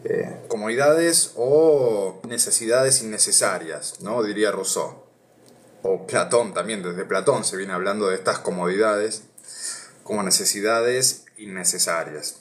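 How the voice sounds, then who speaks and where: A man's voice: lowish voice, measured pace. An Argentine man with a Rioplatense accent, reading philosophy aloud on a live stream and chatting with viewers, thinking aloud with many hesitations. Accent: Argentine Rioplatense Spanish. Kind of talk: casual live reading and chat.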